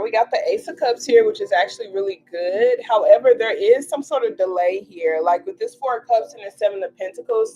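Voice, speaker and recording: A woman's voice: medium pitch, a little mellow. A woman in her twenties giving a casual live tarot reading, talking informally and reassuringly straight to her viewers. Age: 20s. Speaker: tarot reader hosting a livestream. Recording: dull lo-fi livestream audio.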